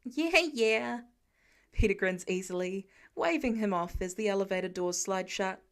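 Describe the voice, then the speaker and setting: A woman's voice: medium pitch, steady tone, moderate pace, clear, resonant tone. A woman reading a story aloud as scripted narration for an amateur audiobook. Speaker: fan fiction audiobook reader.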